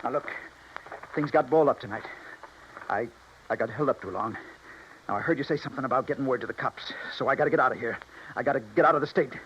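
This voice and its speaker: A man's voice: medium pitch, fast, long pauses, a bit bright, close to an everyday voice. An American man playing a cocky, jittery, menacing small-time bandit, slangy and threatening, in a 1950s radio drama. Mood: edgy, threatening. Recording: muffled 1950s radio recording, faint noise.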